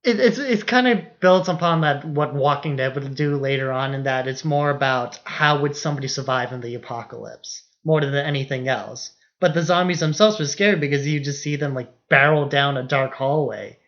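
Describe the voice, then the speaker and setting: A man's voice: medium pitch, moderate pace, few pauses, fairly bright tone, muffled recording. A young adult man co-hosting a podcast and chatting casually about films. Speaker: podcast co-host. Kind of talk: casual film discussion.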